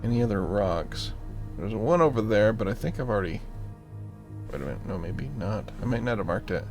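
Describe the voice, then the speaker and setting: A man's voice: lowish voice, expressive, a little fast, a little mellow, soft background music. A man giving casual gameplay commentary, thinking aloud and muttering to himself with playful asides. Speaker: gaming channel host.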